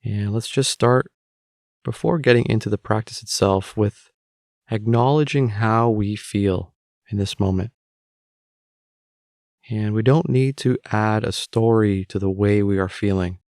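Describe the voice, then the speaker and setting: A man with a fairly low voice narrates a guided meditation. He speaks very slowly and calmly, giving gentle step-by-step instructions with long pauses. The sound is close and dry, with no room echo.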